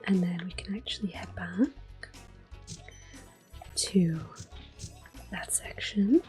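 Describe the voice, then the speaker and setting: A woman whispering soft, unhurried commentary as she plays through a video game for an ASMR channel. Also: mid-range voice, soft background music. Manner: soft, unhurried whisper with occasional soft-spoken words. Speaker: ASMR gameplay host.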